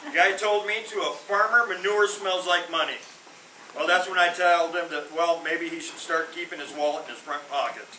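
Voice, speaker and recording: A man's voice: a little high, normal speed. A man doing stand-up one-liners and corny puns without a microphone, his punchlines trailing off sheepishly. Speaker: stand-up comedian. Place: veterans home hall. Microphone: no microphone, far from the recorder. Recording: roomy hall from a distance, noisy.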